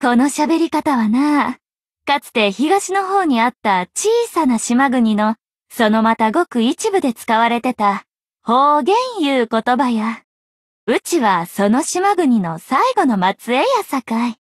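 A young female anime game journalist with a high, very animated voice and a Kansai accent. She is a breezy tease who fibs playfully, coquettish and lilting, in a dry, close recording. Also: takes it slowly, no echo. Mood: teasing, coquettish. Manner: soft, coquettish, lilting dialect with playful teasing. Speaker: game voice actor. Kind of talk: Japanese anime game voice acting.

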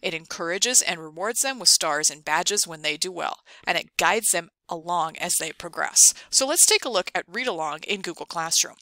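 A woman presenting an instructional screencast, explaining things clearly step by step. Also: on the low side, lively intonation, moderate pace, few pauses, sharp tone, no echo. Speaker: ed tech tutorial presenter. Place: dry close voice-over for a screen recording.

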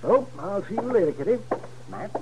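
An American actor playing a grumbling, crotchety old frontier town doctor in a 1950s radio Western. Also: male voice, high-pitched, very animated, fairly quick, highs cut off. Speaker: supporting actor. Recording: faintly noisy 1950s radio recording.

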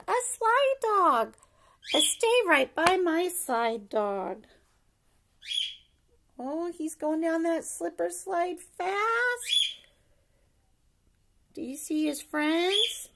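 A middle-aged woman with a high, bright voice reads to preschoolers at an unhurried pace. She is expressive and sing-song, like a teacher at story time, and often puts questions to the children. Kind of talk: animated picture-book read-aloud for young children. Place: living room at home, indoors.

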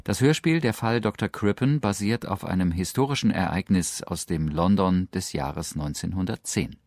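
A man's voice: sits low, moderate pace, darkish tone, no echo. A man giving a formal, factual, scripted radio introduction as presenter.

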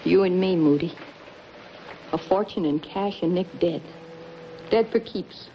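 A woman's voice: fairly low pitch, a little slow. An actress in her thirties playing a bitter, scheming, world-weary gangster's woman, in clipped, dramatic 1950s noir style. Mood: scheming, hard. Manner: hard-boiled, clipped, dramatic. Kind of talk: 1950s noir film acting. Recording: dull, noisy 1950s film soundtrack.

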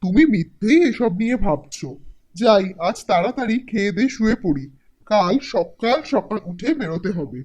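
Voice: medium pitch, expressive, normal speed, darkish tone, close to an everyday voice. An actor voicing a poor, naive, aging village peanut seller in a cartoon, in a simple, folksy, bashful manner. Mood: dismissive. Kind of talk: Bengali cartoon voice acting.

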